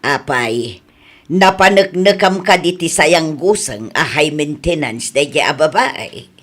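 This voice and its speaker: A woman's voice: low voice, lively intonation, slow pace, heavily acted. A woman with a Filipino accent playing a down-to-earth mother who questions her grown son in a radio comedy-drama. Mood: probing.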